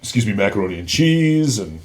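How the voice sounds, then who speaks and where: Low-voiced man telling casual stories. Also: very animated, fairly quick, darkish tone. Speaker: guest, former celebrity security worker. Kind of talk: casual anecdotal storytelling in a podcast chat.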